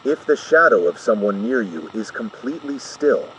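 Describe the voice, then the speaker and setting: A man's voice: mid-range voice, animated, fairly bright tone, close to an everyday voice. Man voicing an ominous official broadcast announcer, noisy recording. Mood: calm, ominous. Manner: measured, formal, deliberate. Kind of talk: analog horror scripted emergency broadcast narration.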